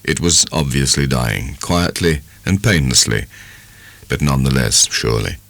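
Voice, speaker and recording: A man giving an expressive, measured narration of a literary audiobook. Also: a bass voice, creaky, no echo.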